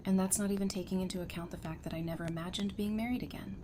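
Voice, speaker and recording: A woman's voice: fairly even intonation, rapid-fire, natural voice. A woman playing a supportive, frank, loyal friend in an audio drama, with a reassuring and candid delivery. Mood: happy. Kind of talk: fan audio drama dialogue. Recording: background noise with low rumble.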